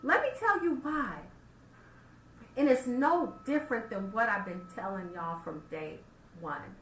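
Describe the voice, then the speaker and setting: Bright-voiced woman radio host. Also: a little high, measured pace. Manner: animated, talks straight to listeners, rhetorical questions. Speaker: radio talk show host. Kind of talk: casual radio monologue. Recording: roomy echo, faint background noise.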